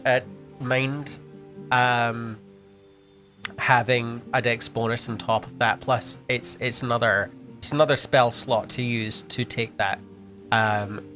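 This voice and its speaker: A sniffly man of ordinary pitch muses through his gameplay choices, hesitating and filling pauses as he thinks aloud. His casual commentary sits over faint background music. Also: fairly bright tone. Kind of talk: casual let's-play commentary.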